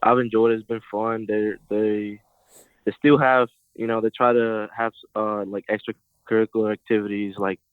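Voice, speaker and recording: A young man from Puerto Rico talks casually over a tinny, phone-like line. His voice is low, flat and smooth, and the sound is dry. He keeps it relaxed, leaning on "like" and "you know". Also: normal speed, dry recording. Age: young adult. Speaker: guest, college football player. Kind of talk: phone interview.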